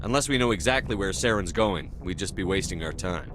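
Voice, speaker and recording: A steady, principled military commander from a video game. His voice is fairly low, firm and measured. He speaks with calm authority over clear background music. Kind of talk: video game cutscene acting.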